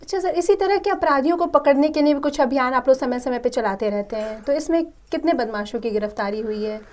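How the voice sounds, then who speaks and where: Higher-voiced woman interviewing politely. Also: a little fast. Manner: polite, deferential, frequent acknowledgements. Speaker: radio interviewer. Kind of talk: formal interview questioning.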